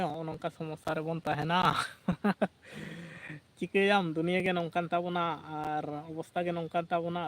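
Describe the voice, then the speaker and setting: A man hosting a casual livestream, chatting, reading out viewer comments and laughing often. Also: fairly high pitch. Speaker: livestream host.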